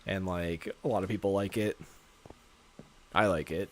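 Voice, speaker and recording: A man's voice: steady tone, moderate pace. A young man with a North American accent streaming a game and chatting casually, rambling with lots of "like" and easy laughs. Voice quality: low natural voice, chill voiceover tone. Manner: rambling, many "like" fillers, laughs often. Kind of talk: casual livestream chat.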